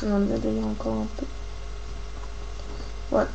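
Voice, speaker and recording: A woman with a cold gives a casual, halting hands-on craft tutorial, thinking aloud as she works. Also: medium pitch, monotone, lo-fi sound. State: has a cold, coughing. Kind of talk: casual hands-on tutorial, talking while working. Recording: clearly noisy homemade recording with clicks.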